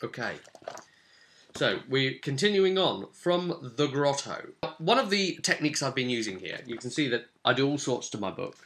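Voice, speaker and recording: A man with a bright, forward voice talks through a tabletop game session as game master. His delivery is casual and conversational, like a personal vlog, at a middling pitch. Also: sing-song, normal speed, a little hiss or hum.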